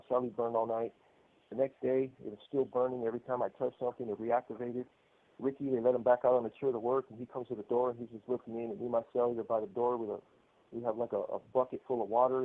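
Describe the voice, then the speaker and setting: A man's voice: medium pitch, gravelly. An American man calling from prison, telling his own story candidly over the phone. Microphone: telephone. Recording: muffled narrow-band prison phone line.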